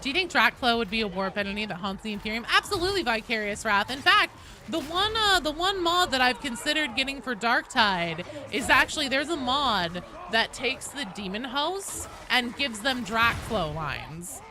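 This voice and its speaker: A woman's voice: a little high, big pitch swings, moderate pace, bright, forceful tone, a little hiss or hum. A woman live streaming a video game, chatting casually and answering viewers in a joking, sweary way. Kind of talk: casual gaming chat, reading and answering viewer comments.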